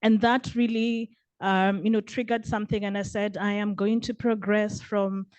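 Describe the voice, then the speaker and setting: A woman from Kenya with a Kenyan accent, speaking up from the audience at a panel in an earnest, measured way. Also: medium pitch, flat delivery, a little mellow, no room sound. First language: Kenyan vernacular. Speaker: audience member asking a question.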